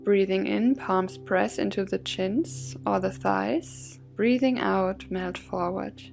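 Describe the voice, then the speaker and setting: A woman guides a yoga and meditation class at a slow, unhurried pace. Her medium-pitched voice has a creaky edge and a soothing, measured delivery. Soft music plays behind her. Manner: soothing, gently measured phrasing with breath cues.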